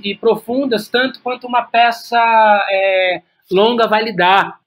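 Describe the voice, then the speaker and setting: Brazilian guy being interviewed, thinking out loud, repeats himself a lot, kinda halting. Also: high voice. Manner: halting, repeats words while thinking aloud. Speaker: guest playwright and director. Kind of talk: reflective interview conversation.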